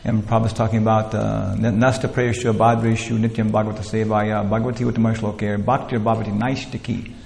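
Gravelly man lecturing in a roomy hall. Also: lowish voice, level tone, quick pace, few pauses, darkish tone. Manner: rambling, many "you know" fillers and restarts. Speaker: teacher leading a scripture class. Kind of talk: informal spiritual lecture. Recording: roomy hall with long echo, faint ambience.

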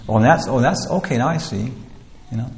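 Gravelly man lecturing in a roomy hall. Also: mid-range voice, a little fast, a little hiss or hum. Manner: rambling, many "you know" fillers and restarts. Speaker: teacher leading a scripture class. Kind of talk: informal spiritual lecture. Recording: roomy hall with long echo, faint ambience.

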